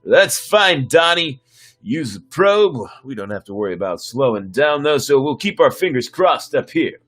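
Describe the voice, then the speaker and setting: Man as starship captain, sci-fi story reading, commanding, sardonic, husky, lively pitch. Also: moderate pace, soft background music. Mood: businesslike.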